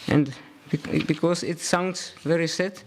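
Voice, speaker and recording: Halting man giving a talk. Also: fairly high pitch, fairly even intonation. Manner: halting, repeats words while searching for them. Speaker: professor, panel speaker. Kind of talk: conference talk.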